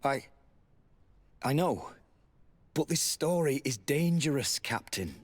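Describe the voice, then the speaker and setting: Brief: guarded officer and instructor, husky mid-pitched voice, grave and secretive, video game drama. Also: male voice, animated, takes it slowly. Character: guarded, wary male military lieutenant instructor. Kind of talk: video game character acting.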